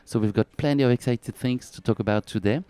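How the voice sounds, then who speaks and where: A man hosting a podcast interview at a pop-up store, friendly and often pausing on fillers. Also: medium pitch, dark tone, clean tone. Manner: friendly, many filler pauses. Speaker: podcast host. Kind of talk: interview chat. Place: pop-up store at a race event.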